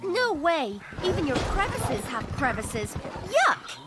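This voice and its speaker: A woman's voice: high-pitched, very animated, slow pace, background noise, full character voice. A woman voicing a cheeky, sardonic young servant in a video game, playful and bluntly mocking. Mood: disgusted.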